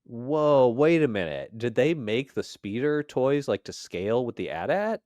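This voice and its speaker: A man's voice: medium pitch, sing-song, unhurried, a little mellow, no room sound. A man co-hosting a podcast, chatting casually about pop culture in a joking, exclamatory way. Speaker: podcast co-host.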